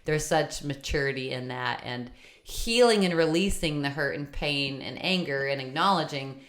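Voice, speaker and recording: Low-voiced chatty woman in her thirties. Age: 30s. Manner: chatty, lots of fillers and quick agreement. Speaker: podcast co-host. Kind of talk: casual chat.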